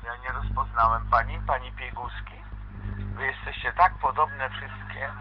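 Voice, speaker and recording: A man's voice: mid-range voice, fairly even intonation, normal speed, slight background noise. A man improvising a pretend-play phone dialogue in a homemade audio play, talking in a polite, hesitant, questioning way. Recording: dull lo-fi playback with guitar music over it.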